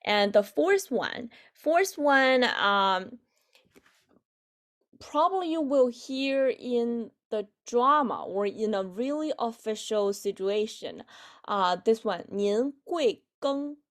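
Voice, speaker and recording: A woman in her twenties with a Chinese accent and native Chinese, teaching an online language lesson haltingly, repeating phrases for learners. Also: a little high, animated. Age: 20s. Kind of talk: online lesson, explaining with examples.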